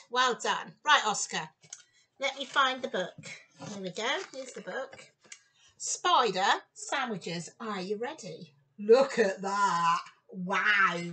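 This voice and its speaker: A woman in her fifties giving an expressive storytime read-aloud for young children, with exclamations and chatty asides. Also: fairly high pitch, recorded from a distance. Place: small room at home, indoors.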